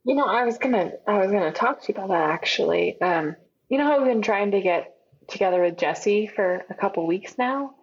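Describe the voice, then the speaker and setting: A woman's voice: mid-range voice, animated, fairly quick, breathy edge, lo-fi sound. An actress playing an anxious, haunted young woman keeping an audio journal in a found-footage drama, introspective and uneasy. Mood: sheepish, casual.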